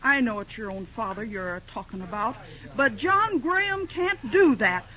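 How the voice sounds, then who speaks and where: An actress playing an excitable, motherly older small-town woman with a rural American accent and folksy dialect, in old-time radio drama style. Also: fairly high pitch, moderate pace. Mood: indignant. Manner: exclamatory, indignant, motherly. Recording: dull, noisy vintage radio recording.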